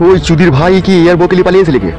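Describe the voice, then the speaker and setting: A man's voice: high-pitched, steady tone, racing, darkish tone, soft background music, close to an everyday voice. A man voicing a bossy, foul-mouthed village uncle in a comedy cartoon, with a blunt, interrogating manner. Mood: angry, scheming.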